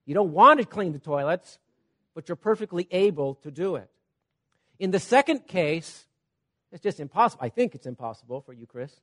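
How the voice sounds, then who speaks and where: A man preaching a sermon, teaching with everyday examples, checking in with "Okay?" and swinging between loud emphasis and quiet asides. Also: on the high side. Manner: repeats "Okay?" to check on listeners.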